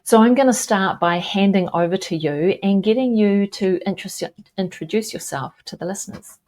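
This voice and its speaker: A woman's voice: medium pitch, normal speed, a little mellow. A woman with a New Zealand accent hosting a podcast and introducing her guest in a conversational way. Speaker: podcast host.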